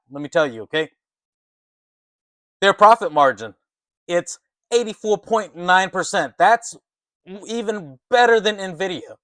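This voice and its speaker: A man hosting an informal, excited finance commentary, talking emphatically and conversationally and often checking in with short tag questions. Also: on the high side, no echo, clean studio sound. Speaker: host of a stock market commentary channel. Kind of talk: excited informal market commentary, partly reading articles aloud.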